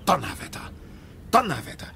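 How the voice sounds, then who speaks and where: Field reporter: high, bright, very animated, excitable, some background ambience. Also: male voice, normal speed, vocal fry, slight background noise, heavily acted. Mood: enthusiastic. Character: excitable, impressed male radio reporter. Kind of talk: on-location radio report, acted.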